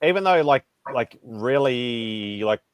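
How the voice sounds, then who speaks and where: A man's voice: medium pitch, lively intonation, takes it slowly, clean tone, dry recording. An Australian man chatting casually, feeling his way through sentences with repeated words. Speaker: panelist on a pop culture hangout show. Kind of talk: casual chat.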